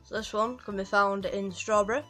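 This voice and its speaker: A woman's voice: medium pitch, steady tone, normal speed, pushed and bright, faint music behind. A woman with a Yorkshire accent gives a rambling, casual walkthrough of a game from home, full of ums and restarts. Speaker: gaming guide creator.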